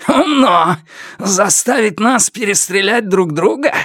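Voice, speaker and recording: A man voicing a frantic, wounded villain in an audiobook, hoarse and breathless, with a taunting, raised voice. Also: sits high, expressive, slow, no echo, studio quality, voice pushed up, exaggerated voice. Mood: taunting, strained. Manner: shouting and taunting. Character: frantic wounded male villain, gloating and enraged.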